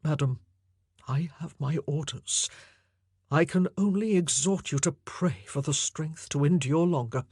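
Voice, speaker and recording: A woman reading an audiobook, voicing a solemn, kind-hearted jailer who speaks steadily in her own lower register. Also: measured pace, a little mellow, slightly rough, no room sound, studio quality. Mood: regretful. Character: gentle middle-aged male jailer, grave, kindly.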